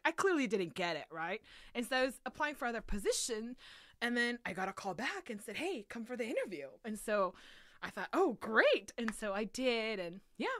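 Chatty woman with a bright, high voice. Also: normal speed, no echo, clean studio sound. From South Korea and Los Angeles area. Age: 30s. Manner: chatty, animated retelling with quoted asides. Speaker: podcast guest, museum educator. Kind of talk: casual interview chat.

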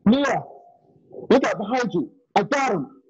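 A man's voice: sits high, expressive, a little slow, a little hiss or hum. An actor playing a tough, protective male gunfighter in a Western radio play. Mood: tough, threatening. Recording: dull home video call audio.

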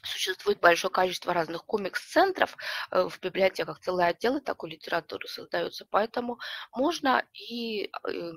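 A middle-aged woman presents an online lesson in a hoarse, creaky voice thick with a cold. She explains things step by step, with hesitant pauses as she picks her words. The sound is dry and close, with little room in it. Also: mid-range voice, a bit bright, dry recording. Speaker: webinar presenter, librarian and teacher. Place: small room with bookshelves, indoors.

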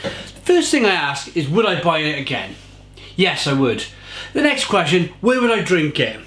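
A man's voice: a little high, big pitch swings, moderate pace, fairly bright tone, reverberant. A man in his thirties giving an enthusiastic, casual beer tasting review to camera from a home kitchen. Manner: enthusiastic, gestures a lot while describing flavours. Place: home kitchen bar with shelves of bottles, indoors.

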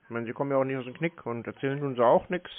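A man on a phone call, playing a slow customer, obtuse, grumbling and argumentative. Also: mid-range voice. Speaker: scambaiter posing as a victim on a phone call.

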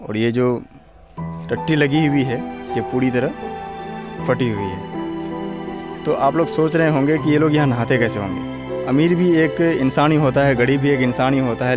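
A man, reporting for a documentary from a village into a handheld microphone. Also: medium pitch. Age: 20s. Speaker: field reporter. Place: outdoors and in open huts in a village. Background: music.

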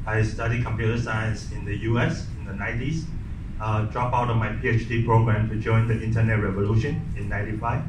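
A man's voice: fairly low pitch, level tone, fairly quick, noisy background. A middle-aged man from Taiwan giving an unscripted conference talk on stage with a handheld mic, restarting sentences often and joking dryly. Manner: unscripted, frequent restarts and fillers, wry. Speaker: conference speaker. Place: large wood-paneled auditorium stage. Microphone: handheld mic, recorded by far camera. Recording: roomy hall audio from far off.

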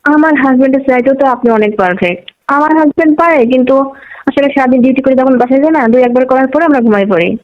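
A woman's voice: high-pitched, very fast. A woman with a Bangladeshi accent chats casually and intimately on a phone call.

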